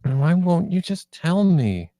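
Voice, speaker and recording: A tough, tired teenage girl acted by a woman at a table read, mellow in tone and unhurried. She is terse and guarded, the one in charge of the younger kids. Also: fairly low pitch, very animated, no echo. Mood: tense, reproachful.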